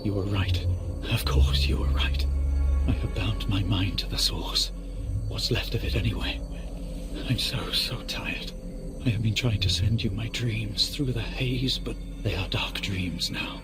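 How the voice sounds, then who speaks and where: A man playing a weary, troubled mystic in a video game, speaking in a hushed, solemn, halting and pleading way. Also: fairly low pitch, loud music behind the voice, lightly acted. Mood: brooding. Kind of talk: dramatic video game acting.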